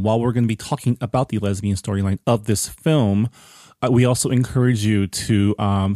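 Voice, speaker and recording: A man co-hosting a podcast, talking over a film in a relaxed, hesitant way with many pauses. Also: lowish voice, dry recording, clean studio sound. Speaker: podcast co-host. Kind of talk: casual film discussion.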